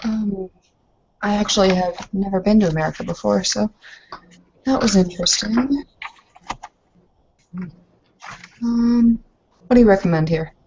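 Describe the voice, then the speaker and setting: A woman's voice: mid-range voice, normal speed, a little mellow, close to an everyday voice. A woman playing a naive, curious young bride in a tabletop roleplaying game, polite, hesitant and inquisitive. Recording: dull mic with faint noise.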